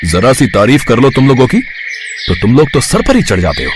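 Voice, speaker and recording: An actor playing an arrogant, scolding rich village landlord with a husky voice. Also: male voice, mid-range voice, wildly swooping pitch, a little fast, background noise. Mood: scornful. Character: harsh rich middle-aged village landlord.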